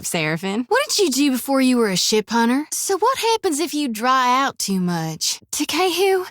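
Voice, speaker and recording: A young woman voicing a devout, earnest human priestess in a fantasy game, talking in a folksy rural way and at times sinking to a hushed whisper. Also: a little high, dry recording, clean studio sound. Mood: folksy, friendly. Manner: rural folksy drawl with "reckon" and "ain't". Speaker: companion character in a fantasy role-playing game. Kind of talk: video game voice acting. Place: dry recording booth.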